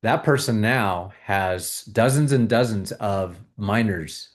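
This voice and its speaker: A man hosting a video, calmly guiding viewers through a tapping exercise with repeated phrases. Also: fairly low pitch, slow pace. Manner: calm, repetitive, coaching tone. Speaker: host leading a tapping exercise. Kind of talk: guided tapping exercise and money talk.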